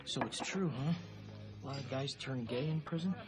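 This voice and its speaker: A man's voice: mid-range voice, moderate pace, lightly acted. An actor playing a selfish, glib businessman who is facing prison, in a whiny, self-justifying manner for a comedy film. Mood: nervous, glum. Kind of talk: live-action comedy film acting. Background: music.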